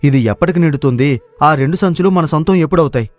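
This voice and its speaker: A man's voice: on the high side, fast talker, natural voice. A man voicing an easygoing young villager in a cartoon moral story, questioning and sceptical in manner. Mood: doubtful. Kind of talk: dramatised moral story dialogue.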